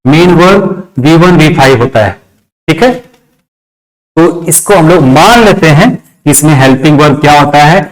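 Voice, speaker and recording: A man's voice: a little high, lively intonation, moderate pace, poor audio. A man with an Indian accent teaching a lesson step by step, repeating key phrases and answering his own questions. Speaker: English grammar teacher. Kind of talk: classroom lesson, step-by-step explanation.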